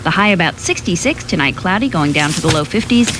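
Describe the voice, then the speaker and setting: A woman's voice: fairly low pitch, expressive, fast talker, music in the background, rough recording. An American woman reading a scripted traffic and weather bulletin as a radio reporter.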